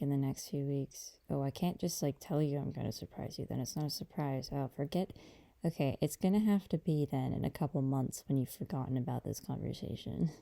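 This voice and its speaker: A woman playing a gentle, affectionate girlfriend in an intimate ASMR roleplay, talking softly and hesitantly to a partner. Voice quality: dark, creaky low voice. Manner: soft, hesitant, unhurried conversational delivery.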